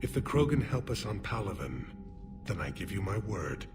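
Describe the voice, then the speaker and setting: A solemn alien commander from a video game, low in pitch and formal in his phrasing. He sounds weary yet resolute, every sentence measured, with prominent music underneath. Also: sing-song. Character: grave alien Turian military leader, weary but resolute. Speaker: military leader. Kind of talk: dramatic video game acting.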